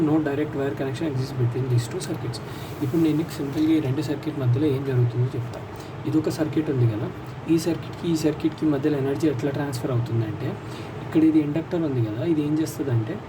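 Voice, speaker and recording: A man with an Indian accent giving an informal explanatory lesson as a lecturer, repeating and rephrasing key points step by step. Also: fairly high pitch. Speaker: physiotherapy lecturer. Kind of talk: informal explanatory lesson, mixing English terms into Telugu. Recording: distant mic, loud background vehicle noise.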